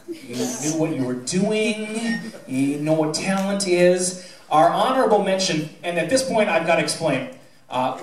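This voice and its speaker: Echoey, high-pitched American man on stage. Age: middle-aged. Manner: folksy, wry, teasing delivery. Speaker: high school theatre director announcing awards. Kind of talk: informal award-ceremony speech to an audience. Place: school theatre stage, indoors. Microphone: handheld mic on PA, captured by a distant camera. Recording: echoey auditorium recorded from far off, audience noise. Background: audience murmur and laughter.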